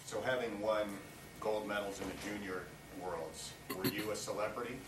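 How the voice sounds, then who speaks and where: A man's voice: fairly low pitch, fairly even intonation, moderate pace, noisy background. Distant man in an echoey room. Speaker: reporter. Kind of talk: press conference questions.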